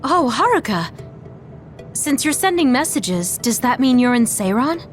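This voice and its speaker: Kind young squadmate, a touch high, gentle and concerned. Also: female voice, sing-song, a little slow, soft background music. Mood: caring. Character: kind, caring young female soldier.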